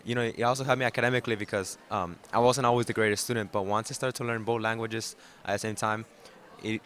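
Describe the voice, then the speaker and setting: A man's voice: lowish voice, fairly bright tone. A young man from Mexico, a Spanish speaker, answering interview questions hesitantly with many fillers. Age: young adult. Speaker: graduating high school student.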